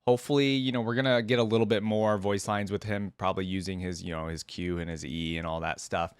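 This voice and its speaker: A man's voice: low-pitched, level tone, quick pace, clear, resonant tone, no echo, clean studio sound. A man giving casual reaction commentary, informal and musing aloud as he goes. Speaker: reaction video host.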